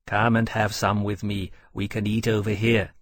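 A man's voice: fairly low pitch, little pitch movement, moderate pace, natural voice. A man voicing a young male dragon, curious, polite and precocious, speaking earnestly in an audiobook story.

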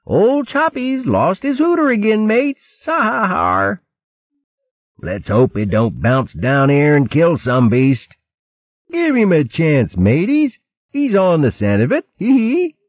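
Dude doing squeaky cackling pirate rats, real high, tinny audio. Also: male voice, big pitch swings, takes it slowly, no room sound, full character voice. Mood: jeering. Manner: cackling, taunting. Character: jeering vermin pirate crewmen, mocking. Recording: tinny lo-fi line.

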